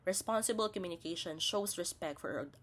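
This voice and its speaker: A woman's voice: lowish voice, brisk, a bit bright, slight background noise. A woman presents a scripted lesson as a teacher, spelling out each point in a didactic, step-by-step way. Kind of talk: scripted instructional narration.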